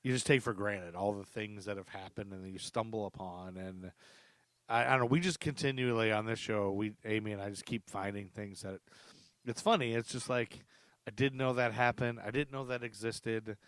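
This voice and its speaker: A man's voice: fairly low pitch, moderate pace, fairly bright tone, no room sound. A man hosting a history podcast, chatting casually and thinking aloud with lots of fillers. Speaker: podcast host. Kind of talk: casual chat.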